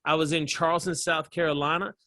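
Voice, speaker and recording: A man with a Southern American accent, a comedian telling animated stories in a casual interview and laughing often. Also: a little high, brisk, dry recording, studio quality. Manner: animated storyteller, laughs often, acts out voices. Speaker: comedian guest.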